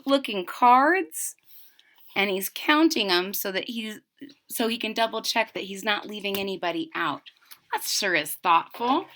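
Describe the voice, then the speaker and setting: A woman reading a storybook aloud for children, expressive and child-directed, adding simple explanatory asides. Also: fairly high pitch. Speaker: storybook reader.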